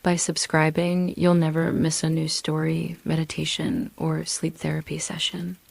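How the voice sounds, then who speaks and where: A woman narrating a scripted sleep story as a gentle, soothing guide, with a hushed delivery and breathy, whispery phrase endings. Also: deep voice, completely flat delivery, moderate pace, no room sound. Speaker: host and narrator of sleep meditation stories.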